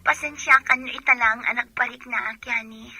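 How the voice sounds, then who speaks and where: A woman's voice: on the high side, steady tone, normal speed, bright, forceful tone, soft background music. An actress playing an apologetic young woman through a telephone filter in an Ilocano radio drama, with an Ilocano accent.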